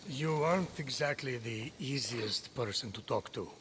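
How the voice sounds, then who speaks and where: An actor playing a wise, patient storyteller of myths, narrating a video game in a measured, dry, solemn way. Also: male voice, mid-range voice, a bit bright, slight background noise, close to an everyday voice. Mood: dry reproach.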